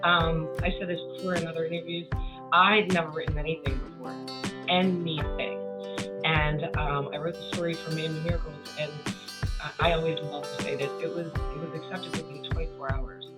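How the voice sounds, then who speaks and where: A woman speaking as an interview guest in an earnest, halting, personal way. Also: low voice, steady tone, loud music behind the voice. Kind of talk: casual personal chat.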